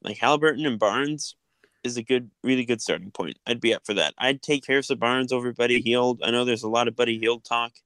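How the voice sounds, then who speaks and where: A man's voice: mid-range voice, a little fast, a bit bright, no room sound, poor audio. A man hosting a casual sports podcast, talking in an easygoing way with lots of fillers and restarts. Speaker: podcast host.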